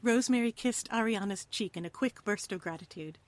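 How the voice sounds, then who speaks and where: A woman narrates an adventure story from a script. Her voice sits at a middle pitch, and her delivery is expressive and theatrical, suited to an audio play. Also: brisk, dry recording. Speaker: narrator, reader.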